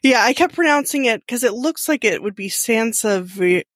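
A woman's voice: on the high side, expressive, normal speed, no room sound. Woman podcast host, very dry close sound. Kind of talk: podcast interview hosting.

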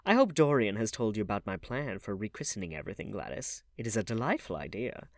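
A witty aristocratic gentleman, played by a man reading a novel. He is languid and whimsical in a mannered way, his pitch moving freely, with a dry, close recording. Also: very animated, quick pace, dry recording. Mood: airy, amused.

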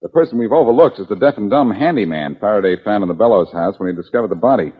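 A brash radio sleuth, with a lowish voice that swings freely as he needles people. He is wry and sure of himself, in forties radio style. The recording is old and muffled. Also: lively intonation, quick pace, husky. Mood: musing. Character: brash, wisecracking male amateur detective questioning suspects. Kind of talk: 1940s radio drama acting.

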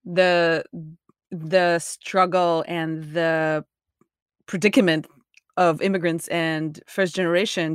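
Lady host asking questions, slow and searching, kinda low voice, dry close sound. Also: clean tone, dry recording. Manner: thoughtful, hesitant, searching for words. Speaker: interviewer, host. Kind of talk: casual conversational interview.

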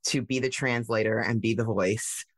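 A woman tech founder telling her story in a casual interview, animated, with many fillers and restarts. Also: very deep voice, moderate pace, dry recording. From United States.

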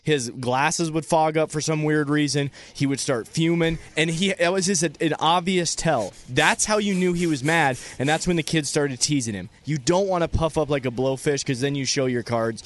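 A man co-hosting a radio show, telling playful anecdotes at a quick clip. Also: a little high. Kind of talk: casual studio banter.

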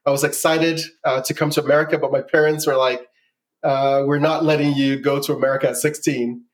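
A man's voice: on the high side, level tone, brisk, rough recording. A man in his forties from Ghana, telling his life story as a guest in a casual interview and often repeating words.

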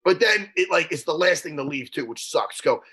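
High, lively, husky-voiced joking man. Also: fairly quick. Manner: joking, teasing banter. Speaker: podcast co-host. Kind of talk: casual chat.

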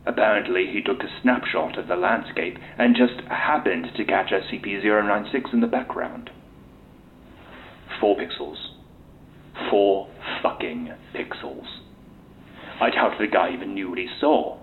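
A man's voice: low voice, unhurried. A man playing a bitter, cynical, foul-mouthed military officer being interviewed in an audio drama. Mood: weary, bitter. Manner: cynical, exasperated, sweary. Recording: tinny lo-fi interview tape with hiss.

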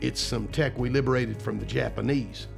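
A man's voice: medium pitch, normal speed, vocal fry, faint music behind. A man acting in an audio drama as a confident, enthusiastic time-travel scientist who explains things informally. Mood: easygoing.

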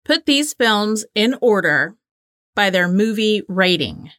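A woman's voice: medium pitch, very animated, slow pace, a bit bright, no echo, clean studio sound. A woman co-hosting a casual, playful quiz chat. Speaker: co-host and quiz player.

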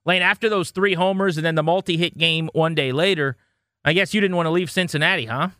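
A man's voice: fairly high pitch, brisk, sharp tone, dry recording, clean studio sound. A man hosting a sports talk show, presenting segments and interviewing guests in an upbeat radio style.

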